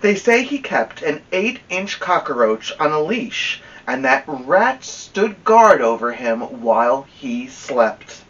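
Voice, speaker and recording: A man reading a children's novel aloud as an expressive storyteller, with dramatic pauses and drawn-out emphasis on key words. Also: a little high. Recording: dull lo-fi sound, distant from mic.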